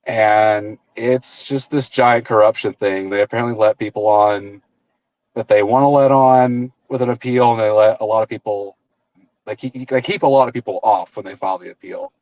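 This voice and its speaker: A man's voice: on the low side, normal speed, darkish tone, dry recording, poor audio. A man in his thirties, a political candidate talking as a guest in a casual interview, rambling and often restarting his sentences over a video call. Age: 30s. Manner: rambling, with frequent restarts and repeated words.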